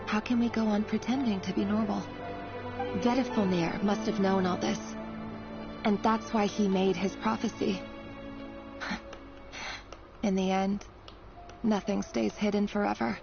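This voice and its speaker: A conflicted young woman of firm resolve in a video game. Her mid-pitched delivery is earnest and theatrical. Faint music sits under slightly dull audio. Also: fairly even intonation, unhurried, gravelly, long pauses. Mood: pensive, sorrowful. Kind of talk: dramatic video game voice acting.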